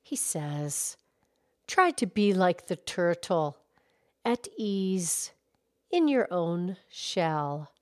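Woman as grown-up cow puppet, calm, soft, slow measured delivery, teacher-like, children's show. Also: fairly low pitch, no room sound, clean studio sound, full character voice. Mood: gentle, measured.

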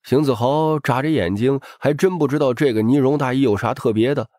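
A man's voice: mid-range voice, a little fast, mellow, no room sound, clean studio sound. A man narrating a novel as a dramatic, scripted audiobook.

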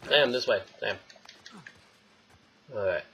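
A man's voice: fairly low pitch, slow, gravelly, sharp tone. A young man giving casual, jokey let's play commentary, rambling to himself and the game as he plays. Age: young adult. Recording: dull lo-fi mic, faint noise.

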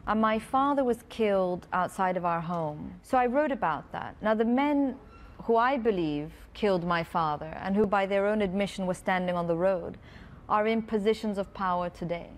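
A woman in her thirties from Pakistan, an author reading from her book and answering questions on a festival stage, earnest and measured. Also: medium pitch. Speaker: author guest. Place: festival stage before a large audience.

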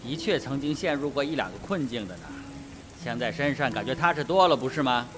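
An actor in a radio drama playing a cool, cavalier colonel and adventurer, assured and sardonic. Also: male voice, mid-range voice, expressive, fairly quick, background noise, natural voice. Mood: cool, wry.

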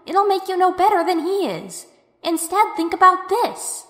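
A woman playing an earnest, soothing peacemaker in a dramatic dub, pleading for calm. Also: extremely high pitch, moderate pace, very noisy. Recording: echoey reverberant room.

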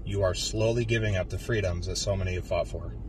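An American man in his thirties, a police officer, giving a solemn, deliberate prepared speech to camera in a car. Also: low-pitched, steady tone. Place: inside a car. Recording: slightly distant mic, faint car noise.

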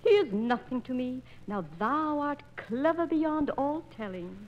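Sly flirt, slow and high. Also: female voice, very animated, a little hiss or hum. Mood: coy flattery. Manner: theatrical, archaic, arch diction. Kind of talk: 1940s radio operetta acting. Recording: muffled 1940s radio transcription disc.

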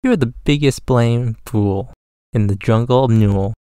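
A man's voice: medium pitch, a little slow, warm tone, smooth, no echo, studio quality, natural voice. A man voicing a sour, scornful mother kangaroo who mocks others in a rhyming storybook. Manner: mocking. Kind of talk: rhyming storybook character reading.

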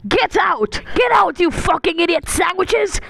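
Woman acting a furious high-pitched cartoon chef. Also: measured pace, vocal fry, exaggerated voice. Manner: indignant, shouting, emphatic. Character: furious, exacting cartoon villager chef scolding trainees.

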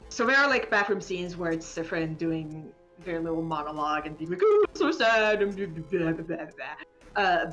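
Lower-pitched woman chatting, soft music behind. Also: lo-fi sound. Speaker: game developer and writer, interview guest. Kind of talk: casual spoken interview chat.

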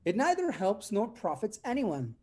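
A young man plays a pompous, disdainful husband in a stage comedy. He scolds in a mellow voice that rises and falls dramatically. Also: high-pitched, huge pitch swings, moderate pace, full character voice. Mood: scolding, irritated. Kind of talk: staged comedy reading over video call. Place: room at home, indoors. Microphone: video call microphone.

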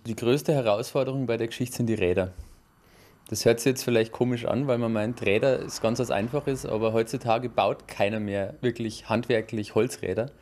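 A man's voice: mid-range voice, fairly even intonation, moderate pace, a little mellow. A carpenter explaining his craft in an interview, hesitant and explanatory, recorded in a workshop. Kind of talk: interview answer.

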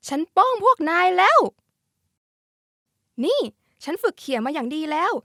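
High-voiced young cartoon schoolgirl, played by a woman. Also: very animated, normal speed, a bit bright, no echo, clean studio sound, heavily acted. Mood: excited, triumphant. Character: lively, caring schoolgirl who loves a card-flicking game. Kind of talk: character voice pack lines.

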